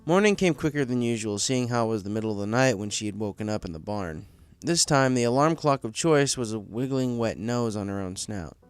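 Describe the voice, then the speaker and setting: A man's voice: lowish voice, expressive, brisk, few pauses, clean tone, no room sound. A man reading a story aloud as a scripted narrator, in a storybook style. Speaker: fan fiction story reader.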